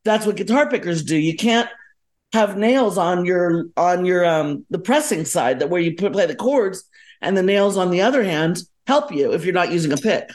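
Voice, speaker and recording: A woman's voice: lowish voice, animated, moderate pace, a little mellow. A middle-aged or older woman from South Florida, chatting casually as a podcast guest, digressing and correcting herself as she reminisces. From South Florida, United States. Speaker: podcast guest, musician and educator. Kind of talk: casual reminiscing interview chat.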